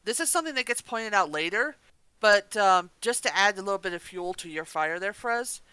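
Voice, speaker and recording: A woman's voice: medium pitch, expressive, brisk, sharp tone, clear, resonant tone, no room sound. A woman making her points in a casual review discussion as a podcast reviewer.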